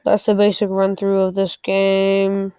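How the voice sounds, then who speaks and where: A woman's voice: medium pitch, monotone, a little slow, dark tone, clean tone, no room sound, studio quality. A woman giving a casual game walkthrough, thinking aloud and a little hesitant as she explains. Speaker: presenter of a game tutorial. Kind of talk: casual screen-recorded game walkthrough commentary.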